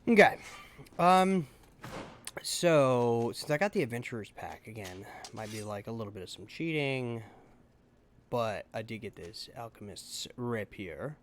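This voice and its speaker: Male gaming host, mid-pitched, casual playthrough commentary, thinking aloud, slight background noise. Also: expressive, a little slow.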